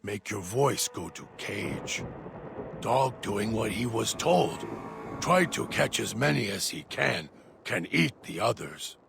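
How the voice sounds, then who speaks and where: A man voicing a deranged, hungry male dog-creature who talks of himself in halting, broken third-person speech. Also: fairly low pitch, sing-song, background noise, heavily acted. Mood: eerie. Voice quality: gravelly. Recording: music bed underneath.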